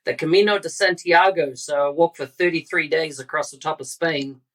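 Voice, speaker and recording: A man's voice: on the high side, normal speed, a bit bright. A man hosting a podcast, introducing a guest and chatting in a friendly, conversational way. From New Zealand. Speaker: podcast host.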